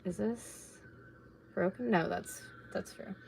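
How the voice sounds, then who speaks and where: A woman in her late twenties, slightly raspy from talking, chatting casually and rambling while she crafts at home on a live stream. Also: medium pitch, slow pace, a little mellow, slight background noise, poor audio. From North America. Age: late 20s. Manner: rambling, chatty, laid-back with filler words.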